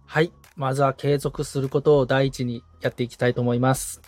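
A man's voice: mid-range voice, flat delivery, brisk, a little mellow, no echo, soft background music. A man as a podcast assistant and learner, attentive and polite in conversation with the host. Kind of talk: casual interview-style dialogue with short responses and questions.

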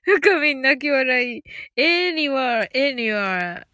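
Woman, high-pitched voice, giggly and playful, casual live-stream chat, close dry sound. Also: animated, takes it slowly, pushed and bright, dry recording. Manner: giggly, breaks into frequent laughter; playful, repeats phrases with amusement. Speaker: live audio stream host teaching English phrases.

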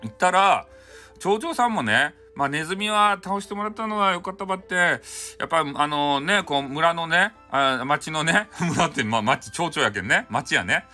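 Male storyteller, Hakata accent, bright animated voice, chatty folksy bedtime-tale delivery over clear background music. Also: fairly high pitch, normal speed. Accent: Hakata (Fukuoka) Japanese. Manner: chatty, folksy, frequent asides. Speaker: storyteller, host of audio show. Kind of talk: casual improvised folk tale retelling for bedtime.

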